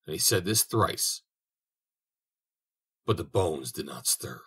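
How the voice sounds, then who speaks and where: A man narrating a fairy tale in a measured audiobook reading. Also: extremely low pitch, expressive, fairly bright tone, breathy edge. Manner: measured storytelling.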